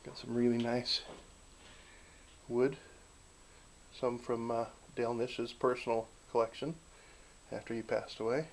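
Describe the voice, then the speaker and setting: A man giving a relaxed, folksy walk-through of a small backyard wooden workshop, filming on a handheld camera. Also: medium pitch, a little slow, creaky, long pauses, a little hiss or hum, low-quality recording. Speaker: channel host filming a shop tour. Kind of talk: casual narrated shop tour.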